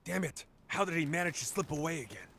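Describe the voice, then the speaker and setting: An actor voicing an anxious, gossip-hungry middle-aged tabloid reporter in a game, nervous and dramatic in delivery. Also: male voice, on the high side, sing-song, quick pace, creaky, fairly bright tone, slight background noise, exaggerated voice. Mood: angry, startled. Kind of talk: English game voice acting.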